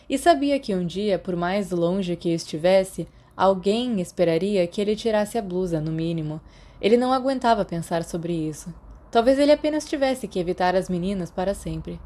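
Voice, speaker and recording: A woman's voice: medium pitch, warm tone. A woman with a Brazilian accent reading scripted fiction aloud as a narrator. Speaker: podfic narrator.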